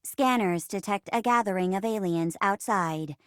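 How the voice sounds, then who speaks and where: A woman's voice: medium pitch, normal speed, fairly bright tone, no echo, studio quality, lightly acted. A woman voicing a dutiful, earnest robot assistant on a starship, with clipped, formal, literal delivery in a game. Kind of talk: theatrical game voice acting.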